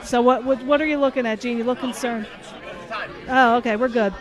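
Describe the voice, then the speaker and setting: A middle-aged woman with a cold talks in a high, nasal voice, rambling and joking with her co-hosts. Clear crowd noise runs behind her. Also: fairly even intonation, a little fast. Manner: chatty, joking, rambling with restarts. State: has a cold. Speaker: podcast co-host. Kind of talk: casual chat.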